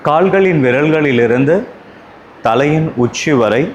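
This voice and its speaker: A man with a Tamil Indian accent guiding a yoga relaxation class, calm and unhurried, giving instructions in short spaced phrases. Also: on the high side, muffled recording, a little hiss or hum.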